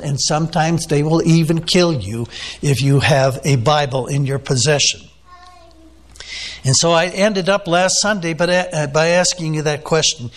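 A man preaching a sermon, teaching off the cuff with many "uh"s and questions to the listeners. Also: on the high side. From United States. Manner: unscripted teaching with frequent fillers, asks the listeners questions. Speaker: pastor.

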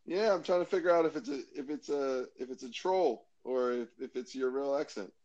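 An American man from Maryland, a guest in a casual interview, talking in a rambling, halting way. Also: mid-range voice, darkish tone, highs cut off. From Maryland, USA. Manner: rambling, halting, lots of fillers and restarts.

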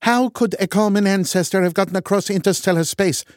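A man's voice: high-pitched, a little fast, no room sound, studio quality, voice pushed up, lightly acted. A man voicing a dour, condescending woman scientist with a Norwegian accent. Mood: argumentative. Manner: condescending, dismissive.

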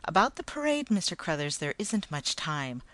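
A gentle, firm Martian ant, put on by a woman reading a storybook. His speech is calm, polite and measured, with a low, steady tone.

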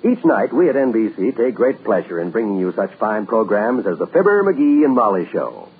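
A man's voice: fairly low pitch, big pitch swings, normal speed, slightly rough. A man making a formal network announcement in a stately, earnest manner. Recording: tinny old radio broadcast.

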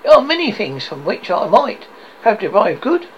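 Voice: medium pitch, very animated, moderate pace, voice pushed up, close to an everyday voice. A reader voicing a cheerful, good-hearted young nephew with an earnest, pleading manner, as in a storybook. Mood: earnest, warm-hearted. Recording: dull audio with faint noise.

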